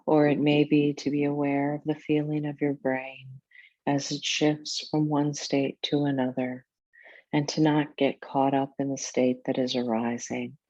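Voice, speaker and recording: A woman teaching yoga nidra, narrating a guided meditation in a soothing, deliberate way with measured pauses. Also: low voice, flat delivery, warm tone, no echo, highs cut off.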